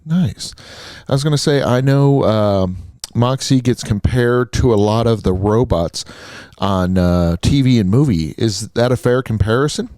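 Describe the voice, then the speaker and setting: A man's voice: mid-range voice, unhurried, a little mellow, no echo, studio quality. A man hosting a radio show and interviewing a guest.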